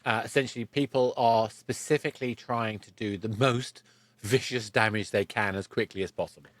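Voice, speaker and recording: A man's voice: lowish voice, steady tone, moderate pace, dry recording. A man hosting a podcast, explaining things conversationally and repeating words for emphasis. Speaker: podcast host.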